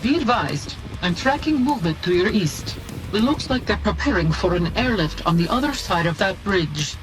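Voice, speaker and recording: An actor playing a brisk, loyal military officer briefing a general in a strategy game, clipped and businesslike. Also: male voice, sits high, lively intonation, rough recording. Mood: urgent. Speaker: military adviser briefing the player. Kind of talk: scripted game mission briefing. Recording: game music behind the voice.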